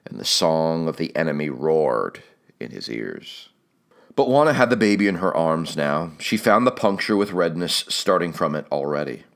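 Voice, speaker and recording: Guy w a low voice reading an audiobook, measured n dramatic storyteller style. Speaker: audiobook narrator. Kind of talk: scripted literary narration.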